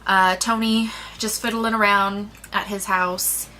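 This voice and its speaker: A woman's voice: mid-range voice, flat delivery, takes it slowly, pushed and bright, slight background noise, rough recording. A woman co-hosting a movie review, talking in a casual, chatty way.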